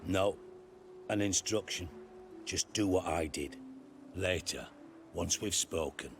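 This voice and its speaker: A British actor playing a middle-aged, scheming spymaster in a video game, speaking in a wry, coarse, rhetorical way. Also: male voice, low-pitched, slow, background noise. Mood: wry storytelling. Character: blunt, scheming, worldly male spymaster. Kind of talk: dramatic video game dialogue. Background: background music.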